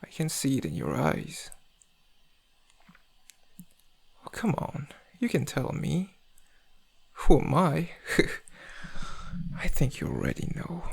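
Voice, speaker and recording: Smug, seductive male criminal played by a man for ASMR roleplay; husky, slightly higher-set voice, intimate drawl with menace underneath. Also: a little mellow, exaggerated voice. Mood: teasing. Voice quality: gravelly, husky. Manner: intimate, teasing, drawling, often dropping to breathy murmurs. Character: suave, menacing male thief, flirtatious and smug.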